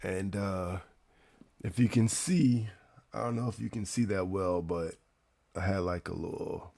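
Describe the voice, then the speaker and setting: A man's voice: low-pitched, fairly even intonation, a little slow, warm tone. A man, hosting a livestream in a casual, slangy, hyped way and often repeating his phrases. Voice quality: raspy. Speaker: livestream host.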